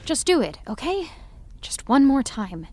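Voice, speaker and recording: A woman's voice: sits high, very animated, measured pace, over loud music. An actress playing an anxious, self-deprecating young woman who talks in a nervous, rambling way. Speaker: actor in audio drama.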